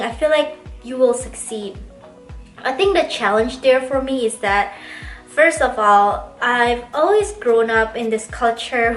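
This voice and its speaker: Casting: woman in her twenties from Indonesia, slightly high voice, relaxed chatty vlog delivery, roomy sound with faint music underneath. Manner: chatty, reflective, often laughs softly mid-sentence. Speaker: vlogger. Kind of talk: casual chat to camera. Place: bedroom.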